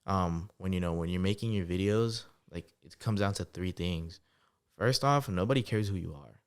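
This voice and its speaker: A man in his twenties, a guest entrepreneur, telling stories in a relaxed, casual podcast interview with lots of fillers. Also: deep voice, normal speed, clear, resonant tone. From United States, Salvadoran family. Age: 20s. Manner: relaxed storytelling with many fillers.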